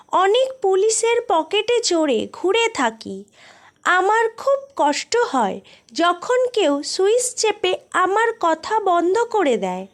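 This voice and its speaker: A woman's voice: very high voice, full character voice. A woman voicing a talkative police walkie-talkie turned vengeful and bloodthirsty, in a brooding, theatrical thriller monologue. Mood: plaintive. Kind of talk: dramatic first-person thriller monologue.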